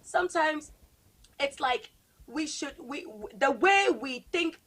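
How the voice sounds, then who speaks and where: Woman pastor on a call-in show, thoughtful, trips over words, higher voice. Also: lively intonation, slow pace, fairly bright tone. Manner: reflective, stumbles and repeats words. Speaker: guest pastor. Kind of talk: casual call-in discussion.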